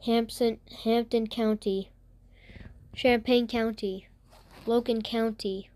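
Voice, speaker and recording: A woman making formal, halting and repetitive emergency announcements in an amateur alert broadcast. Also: a little high, steady tone, a little mellow.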